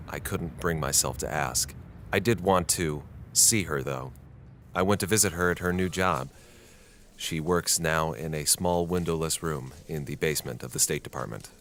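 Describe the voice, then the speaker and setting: An actor playing a subdued, regretful American journalist in a radio drama. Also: male voice, very deep voice, a bit bright, a little hiss or hum. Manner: halting, awkward in conversation, measured when narrating. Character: subdued, regretful male American journalist filing his last report. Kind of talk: radio drama narration and dialogue.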